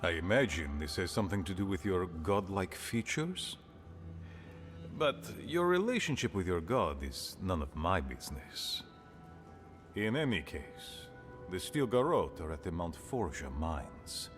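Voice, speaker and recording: Fantasy game: aging dwarf leader, low pitch with lively swings, grave, pragmatic, blunt, faint music behind. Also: male voice, unhurried. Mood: measured, dry. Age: older. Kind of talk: fantasy video game dialogue.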